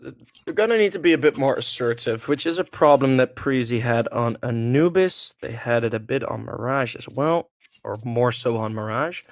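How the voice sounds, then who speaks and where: A man's voice: mid-range voice, moderate pace, no echo. Dutch-accented man casting an esports match. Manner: relaxed and thinking aloud, many fillers and restarts. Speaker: esports caster. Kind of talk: solo play-by-play commentary.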